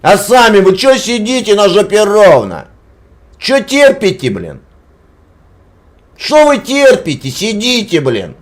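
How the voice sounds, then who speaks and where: Scornful man lecturing, lively pitch. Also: slow pace, long pauses. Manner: lectures loudly, scornful, repeats demands. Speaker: channel host arguing with a stranger. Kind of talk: heated argument, swearing.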